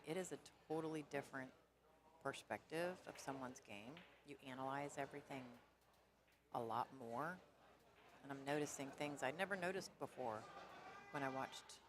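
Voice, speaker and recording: Low alto woman, casual pool commentator, chatty and rambling, noisy hall behind. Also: moderate pace, long pauses. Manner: chatty, rambling, laughs easily.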